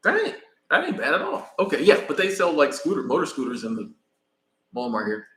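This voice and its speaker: An American man rambling casually on a live stream while traveling in Mexico, pausing to thank viewers by name. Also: fairly high pitch, huge pitch swings, a bit bright, reverberant. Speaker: vlogger.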